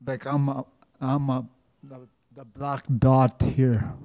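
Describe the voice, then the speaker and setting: Slow-speaking man, hesitant and searching for words; lo-fi recording. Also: medium pitch, flat delivery. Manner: hesitant, many restarts and "like". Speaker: church member sharing. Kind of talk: halting personal testimony.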